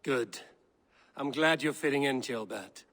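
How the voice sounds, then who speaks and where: A man playing a calm, confident Viking clan leader in a video game, measured and dry. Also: mid-range voice. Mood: businesslike. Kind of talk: video game character acting.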